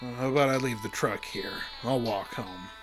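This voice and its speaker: Man playing gruff, boozy small-town fishing guide. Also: mid-range voice, normal speed, music in the background, lightly acted. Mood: wheedling, tipsy. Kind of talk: radio drama acting.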